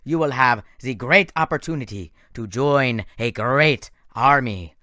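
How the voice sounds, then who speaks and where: A man voicing a menacing male monster who speaks slowly and theatrically, gloating over his captives. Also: mid-range voice, sharp tone, no echo, studio quality.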